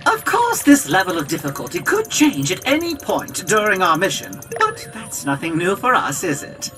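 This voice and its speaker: A woman voicing a small floating robot guide in a video game, excitable, earnest and theatrical. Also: medium pitch, normal speed, lightly acted. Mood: earnest, rallying. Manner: theatrical, exclamatory. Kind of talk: video game cutscene acting. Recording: background game music.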